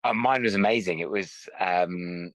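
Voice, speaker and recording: A man hosting a podcast interview, welcoming his guest and asking polite, measured questions. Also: low-pitched, a bit bright, no echo. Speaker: podcast host. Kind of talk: interview host.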